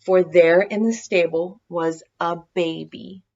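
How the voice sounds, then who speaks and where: A woman reading a children's story aloud in expressive scripted narration. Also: fairly low pitch, takes it slowly, muffled recording. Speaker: storybook reader.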